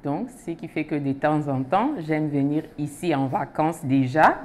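Female guest, 30s, native German speaker, very low voice, slow hesitant answers. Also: a little hiss or hum. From Germany and Switzerland. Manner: soft-spoken, hesitant, brief answers. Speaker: foundation president, guest. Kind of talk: studio interview answers. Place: TV studio set.

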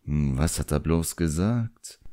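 A man's voice: a bass voice, expressive, slow pace, mellow, no room sound, pitched below the performer's own voice, heavily acted. An impatient boy sorcerer's apprentice, played by a male reader in a fantasy audiobook. He gives curt, demanding orders and questions in a dry recording.

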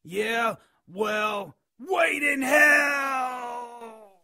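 An actor voicing a scrawny, spiteful, cowardly imp with a gravelly, raspy voice in a radio drama. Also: male voice, extremely high pitch, extremely slow, no room sound, shouting, full character voice. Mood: panicked, defiant. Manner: snide, resentful, excitable. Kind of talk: radio drama character acting.

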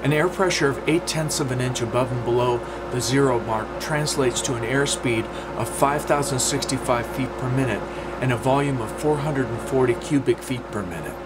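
A man in his fifties, a woodworking instructor, giving a steady scripted explanation in a small home workshop. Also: medium pitch, normal speed, very noisy. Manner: steady, explanatory, gestures while talking.

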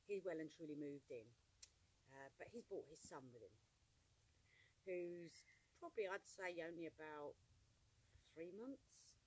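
A woman's voice: on the low side, expressive, unhurried, long pauses, a little mellow, husky, poor audio. A woman in her fifties chatting casually outdoors, with a teasing, dry manner. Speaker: co-host. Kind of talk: casual chat. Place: outdoors in a sunny yard by a woodpile. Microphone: far camera on a tripod.

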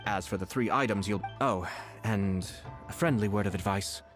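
A man's voice: lowish voice, music in the background, close to an everyday voice. Man as a composed, courteous young nobleman. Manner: measured, polite, genteel. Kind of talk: video game voice acting.

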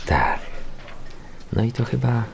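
An actor playing a courteous police inspector who muses aloud in halting, conversational speech in a radio drama. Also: male voice, medium pitch, big pitch swings, creaky, crowd noise, exaggerated voice. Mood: musing.

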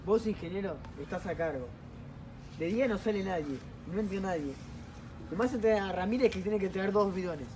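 A man's voice: sits high, moderate pace, close to an everyday voice. A man with an Argentine accent playing a bossy leader of hidden soldiers, giving strict orders in stage play dialogue. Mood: commanding. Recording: clear background noise.

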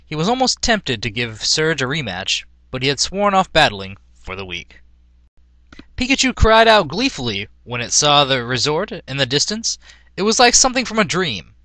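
A man reads a story aloud in a theatrical narrator's style. His voice is fairly high and bright, with very lively intonation. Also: normal speed, dry recording. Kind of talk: dramatic storybook-style reading of fan fiction.

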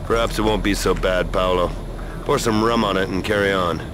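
A man's voice: low voice, steady tone, fairly quick, background noise, lightly acted. A man playing a tough, sardonic action hero in a video game, with a dry, sarcastic delivery. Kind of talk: video game character acting. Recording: loud music behind the voice.

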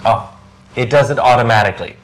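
A young man acting out simple English lesson dialogues in a clear, deliberate voice. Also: medium pitch, low-quality recording. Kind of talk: slow scripted classroom dialogue.

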